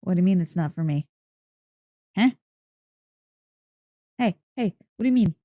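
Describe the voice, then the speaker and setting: A woman voicing an excitable, affectionate dog-girl girlfriend in an intimate ASMR roleplay, playful and easily flustered. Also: on the low side, normal speed, no echo, studio quality, close to an everyday voice. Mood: flustered, eager. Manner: playful, expressive, mock-indignant teasing. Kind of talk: ASMR roleplay, intimate one-sided dialogue. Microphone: close, dry microphone.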